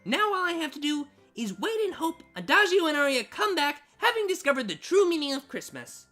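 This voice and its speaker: Ditzy, childlike cartoon girl, female actor, naive and earnest, high, swooping delivery. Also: very animated, a little fast, a bit bright, soft background music. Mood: hopeful, wistful. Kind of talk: storybook fan fiction reading.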